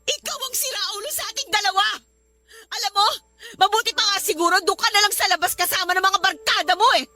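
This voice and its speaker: A woman's voice: very high voice, fast, bright, forceful tone, soft background music. A woman playing an exasperated young mother and live-in partner in an emotional Filipino radio drama. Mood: furious. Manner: emotional, scolding.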